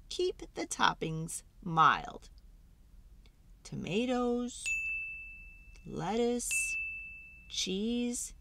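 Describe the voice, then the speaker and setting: A woman's voice: mid-range voice, a bit bright, slight background noise. A woman reading a children's storybook aloud in a dramatic, theatrical way, stretching words for emphasis.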